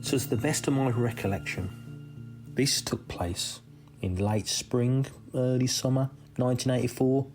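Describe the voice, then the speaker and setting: A man reading a first-person true story as scripted narration, measured, with deliberate pauses between phrases. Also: mid-range voice, fairly even intonation, darkish tone, poor audio. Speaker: reader of a listener's true story. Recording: music under the voice.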